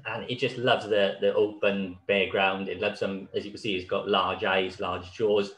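A man in his thirties speaks over a video call, his voice very low and a little hoarse. He talks in a loose, relaxed way with many fillers, as if presenting slides. The room around him sounds echoey. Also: moderate pace. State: slightly hoarse. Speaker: conservation speaker. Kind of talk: online conference talk with slides. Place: small room at home.

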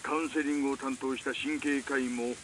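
A man's voice: mid-range voice, steady tone, racing, natural voice. An actor playing an older male investigator in a visual novel, polite, insistent, formal and probing. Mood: measured, confiding. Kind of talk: Japanese visual novel acting. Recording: faint rain and hiss behind.

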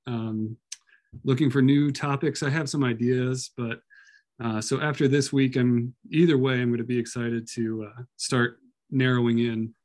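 Male grad student, hushed at work, hesitant, fillers, video call. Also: mid-range voice. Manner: hesitant, many "uh" fillers, speaking softly. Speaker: doctoral student. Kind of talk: online class self-introduction.

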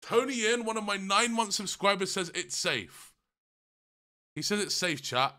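A man in his twenties chatting casually and excitably to livestream viewers in slangy speech, close on a desk mic. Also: high voice, normal speed. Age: 20s. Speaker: livestream host. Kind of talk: casual reaction chat to stream viewers. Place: small decorated room at home. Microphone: close desk microphone on a boom arm.

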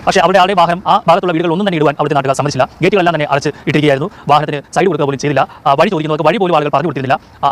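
A man giving a spoken news report as a reporter, describing events in running detail. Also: a little high.

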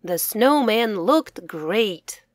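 A woman's voice: medium pitch, lively intonation, extremely slow, dry recording, clean studio sound. A woman reading scripted children's storybook narration as its author, enunciating carefully for English learners.

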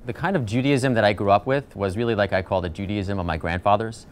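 A man's voice: fairly low pitch, quick pace, a little hiss or hum. A man in his thirties, a rabbi from the US East Coast, speaking in an earnest, reflective, conversational way in a documentary interview. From United States, East Coast. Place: outdoors on a shaded porch. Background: soft music.

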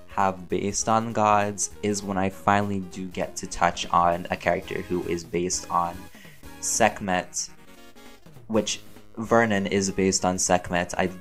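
Lowish male voice, voice actor answering fan questions, informal, rambling, self-amused, soft music underneath. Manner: chatty, playful, self-amused, thinking aloud. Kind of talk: casual rambling Q&A chat.

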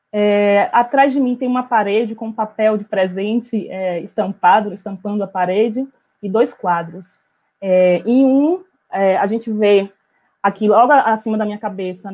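A middle-aged woman from Bahia, giving an academic talk over a video call on an earphone headset, measured and full of hesitations. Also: medium pitch, darkish tone. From Bahia, Brazil. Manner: measured, many hesitation fillers. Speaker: invited speaker, author. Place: small room at home, indoors.

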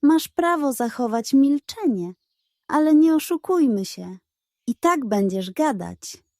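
Woman acting a determined gruff police dog, raised pitch. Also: expressive, slow pace, warm tone, no echo, studio quality, heavily acted. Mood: dry, triumphant. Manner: commanding, muttering and growling.

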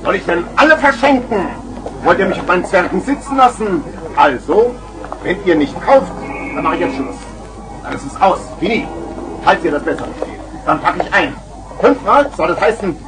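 A man's voice: high-pitched, very animated, normal speed, over music, low-quality recording. A man playing a boisterous street hawker with theatrical, wheedling patter in a vintage children's radio play. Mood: exasperated, pleading. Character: boisterous male street hawker selling garden gnomes. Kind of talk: 1970s German children's radio play acting.

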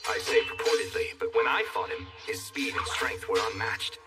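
Casting: a smug, wry young animated hero. Expressive narration, slightly off-mic over clear music. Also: male voice, medium pitch, moderate pace, far from the mic. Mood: wry narrating. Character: cocky sarcastic young male fantasy hero. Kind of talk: animated dub narration and banter.

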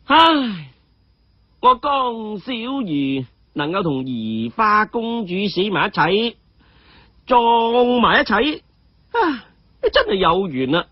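A storyteller puts on a scheming, cheeky young man from an audio tale. He pleads theatrically in swooping, unhurried phrases, with dramatic sighs. The recording is a bit dull. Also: medium pitch, very animated, dry recording, voice pushed up. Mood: theatrical pleading. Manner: dramatic sighs, exaggerated earnest delivery.

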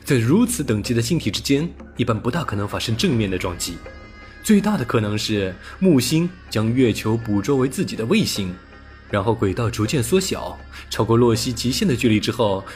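A man's voice: lowish voice, a little mellow. A man reading a science fiction audiobook as narrator, in a scripted, dramatic storytelling style. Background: music.

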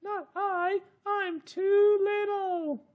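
Tiny squeaky rat. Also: male voice, fairly even intonation, narrow, tinny audio, full character voice. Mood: whiny refusing. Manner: drawn-out, deliberate delivery for children. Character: small squeaky whiny rat making excuses.

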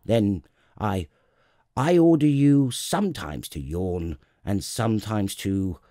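A man's voice: lowish voice, animated, slow pace, warm tone, no room sound, clean studio sound. Man putting on a pompous, imperious storybook king. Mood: imperious, flustered. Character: pompous, kindly absolute king, vain but reasonable.

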